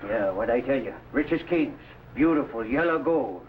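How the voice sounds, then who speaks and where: An actor playing an excitable, secretive frontier sawmill man in an old adventure drama, eager and conspiratorial. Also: mid-range voice, normal speed, far from the mic, close to an everyday voice. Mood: excited. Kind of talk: vintage adventure drama acting. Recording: dull, noisy vintage drama soundtrack.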